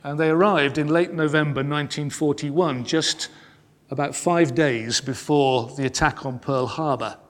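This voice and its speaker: A man recounts history in the slow, solemn cadence of a sermon, pausing and slowing on key phrases. His voice sits slightly high for a man. The sound carries a long reverb, as in a large church. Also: fairly even intonation. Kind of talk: sermon-style storytelling.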